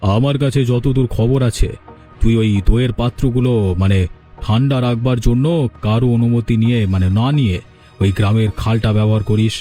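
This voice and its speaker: A man voicing a greedy, domineering male village landlord in a cartoon, speaking in a halting, commanding way. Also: mid-range voice, fairly even intonation, mellow, soft background music, close to an everyday voice. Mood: stern accusing. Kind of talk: cartoon character dialogue.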